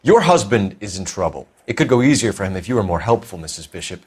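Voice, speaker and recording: An actor playing a stern, formal 1940s police detective with a blunt, accusatory manner in a crime game. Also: male voice, fairly low pitch, very animated, fast talker, a little mellow, breathy edge. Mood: pressing.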